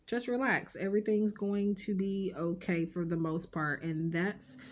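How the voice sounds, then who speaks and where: A woman's voice: mid-range voice, flat delivery, measured pace, slight background noise. A woman with a stuffed-up nose giving a casual, rambling tarot card reading, talking directly and reassuringly to her viewers with lots of fillers. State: stuffed up, sniffling.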